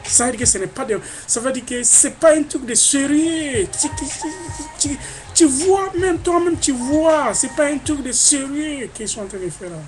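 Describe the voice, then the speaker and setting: A man from Africa talks straight into a webcam, emphatic and indignant, circling back over the same phrases again and again. Music plays clearly in the background of his recording. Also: very high-pitched, normal speed. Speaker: vlogger. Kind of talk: webcam monologue.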